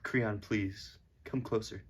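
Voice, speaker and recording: A man's voice: fairly low pitch, fairly even intonation, takes it slowly, slightly rough. A man playing an anguished, self-loathing king, lamenting in declamatory amateur puppet-show style. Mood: broken pleading.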